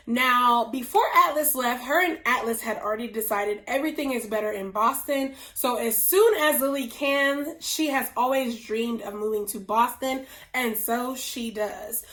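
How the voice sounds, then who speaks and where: A woman hosting a book review vlog, losing her voice and sounding hoarse, gushing with slow drawn-out emphasis. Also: on the high side, fairly bright tone. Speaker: book reviewer, channel host. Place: indoors at home, whiteboard nearby.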